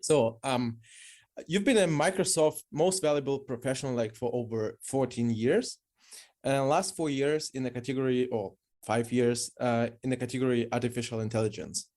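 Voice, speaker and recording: A man's voice: medium pitch, moderate pace, a little mellow. A man from Belarus hosting a podcast interview, who asks short questions and adds brief, encouraging remarks. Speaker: podcast host.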